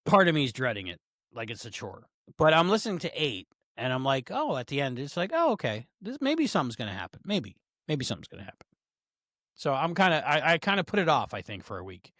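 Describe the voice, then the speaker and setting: A man's voice: medium pitch, big pitch swings, fast, a bit bright, no echo, studio quality. A man co-hosting a podcast, chatting casually with a playful manner. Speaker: podcast co-host. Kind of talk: casual chat.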